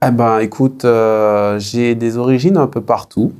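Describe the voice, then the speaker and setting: A man in his thirties from French Guiana, answering as an interview guest in a reflective, conversational way with frequent pauses. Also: medium pitch, fairly even intonation, darkish tone, clear, resonant tone. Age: 30s. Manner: hesitant, reflective, pausing often.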